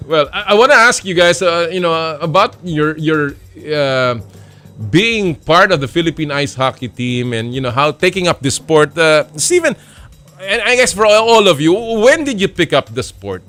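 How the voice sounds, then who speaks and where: A man with a Filipino accent hosting a sports show, asking questions in a mix of English and Tagalog, halting with many fillers and restarts. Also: a little high, expressive, sharp tone, faint music behind. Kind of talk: casual interview, mixing English and Tagalog.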